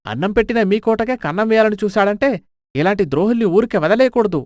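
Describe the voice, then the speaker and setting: A man's voice: sits high, lively intonation, fast, dry recording, studio quality. A man voicing a flustered, deferential royal minister who complains, in a dramatised folk tale. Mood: indignant. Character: flustered, fawning royal minister, eager for harsh punishment.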